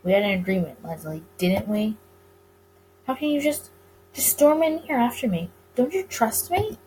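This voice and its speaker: A woman voicing a flustered male detective in a visual novel, halting and easily indignant. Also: a little high, expressive, dark tone, slight background noise, lightly acted, low-quality recording. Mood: indignant, hurt.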